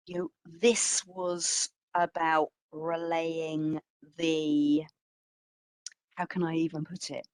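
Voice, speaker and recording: A woman speaks as a curator presenting a history talk in a very dry, close acoustic. Her pace is markedly slow and her pitch sits a little low. She is reflective yet emphatic, putting questions to her listeners as she goes. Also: no room sound. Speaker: museum curator giving a talk. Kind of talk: public history lecture, semi-scripted.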